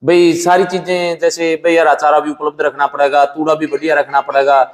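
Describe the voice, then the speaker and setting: A man's voice: a little high, little pitch movement, fairly quick, big-room sound. A man with a Haryanvi accent, a dairy farmer giving practical advice in a plain-spoken, colloquial way in a casual interview. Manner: plain-spoken, colloquial, often addresses listener as brother. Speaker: dairy farmer and buffalo breeder.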